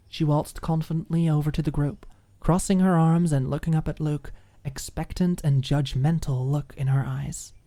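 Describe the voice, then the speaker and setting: Female narrator, mellow soft tone, scripted fiction, ASMR audiobook, close mic, very dry sound. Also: deep voice, no echo.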